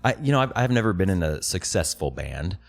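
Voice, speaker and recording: A young man hosts a podcast in rapid, bouncy speech, his low voice swinging widely in pitch. He is eager and loose, cursing casually between questions. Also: big pitch swings, vocal fry. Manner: enthusiastic, swears casually. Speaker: podcast host. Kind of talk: casual interview chat.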